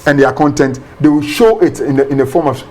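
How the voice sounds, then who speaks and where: Animated pastor explaining. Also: male voice, fairly high pitch, quick pace. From Ghana. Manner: animated, repeats words, acts out examples. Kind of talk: explanatory interview talk.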